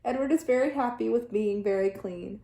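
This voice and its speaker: Female storybook reader, teacher type: higher-pitched, expressive, deliberate phrasing, echoey room. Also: creaky. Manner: animated, deliberate phrasing with dramatic sound-effect words. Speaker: teacher reading to children. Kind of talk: expressive children's storybook read-aloud.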